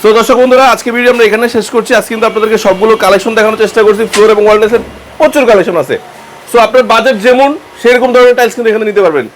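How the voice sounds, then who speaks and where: A man hosts a casual shop tour vlog. His voice sits high and he rattles along at a fast clip, eager and exclaiming often. A faint hum of noise sits behind him. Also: low-quality recording. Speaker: vlogger host.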